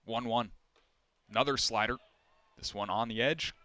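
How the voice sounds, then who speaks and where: A man's voice: medium pitch, pushed and bright, no echo. Young man calling baseball play-by-play. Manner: measured, rising for big plays. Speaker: play-by-play announcer.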